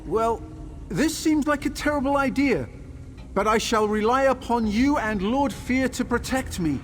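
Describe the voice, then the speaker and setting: Man playing a high-pitched, excitable scholarly tinkerer. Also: unhurried, a little hiss or hum. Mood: alarmed, resigned. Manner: erudite, formal, exclamatory. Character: excitable, fussy scholarly tinkerer, curious and anxious. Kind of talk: video game character dialogue.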